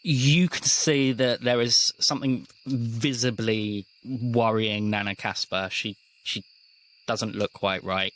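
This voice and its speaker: A man narrating a tabletop roleplaying game as game master, deliberate and given to dramatic pauses. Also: lowish voice, steady tone, fairly bright tone, a little hiss or hum, low-quality recording.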